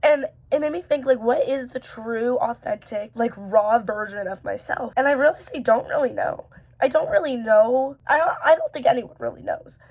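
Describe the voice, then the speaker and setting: A woman with a slightly high voice that is still hoarse after losing it talks to camera. She rambles and muses, tossing in fillers and questioning herself as she goes. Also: normal speed, darkish tone. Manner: rambling and musing, many fillers and self-questions. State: hoarse, recovering from lost voice. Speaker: vlogger. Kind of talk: casual reflective talk to camera.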